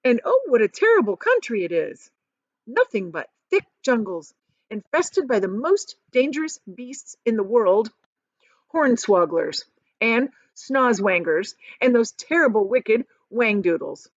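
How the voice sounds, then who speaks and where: A woman acts out an eccentric male chocolate factory owner from a storybook, proud and excitable. The delivery is theatrical and very animated, high in pitch, with key words stretched out. The sound is dry and a little lo-fi. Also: unhurried, dry recording, heavily acted. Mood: dramatic, pitying.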